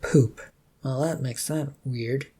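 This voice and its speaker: Narrator as a scatterbrained, husky, eager bumbler. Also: mid-range voice, fairly even intonation, takes it slowly, warm tone. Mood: sheepish. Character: person magically turned female, scatterbrained, easily excited.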